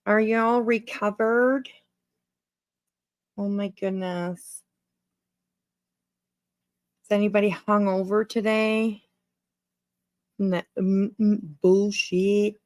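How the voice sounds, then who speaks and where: A woman's voice: mid-range voice, fairly even intonation, extremely slow, long pauses, darkish tone, no room sound. A woman hosting a casual livestream, chatting informally to viewers in a rambling way and repeating her phrases. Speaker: livestream host.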